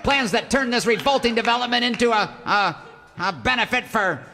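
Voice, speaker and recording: An actor playing a scheming, bad-tempered outlaw villain in a parody of an old western radio serial, sly and blustering. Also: male voice, very high voice, brisk, pushed and bright, long reverb tail, slight background noise, full character voice. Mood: exasperated scheming.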